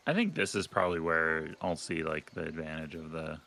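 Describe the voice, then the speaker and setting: A man's voice: very deep voice, sing-song, brisk, bright, forceful tone, faint music behind. A man co-hosting a video game let's play with casual commentary. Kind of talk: casual gameplay commentary chat.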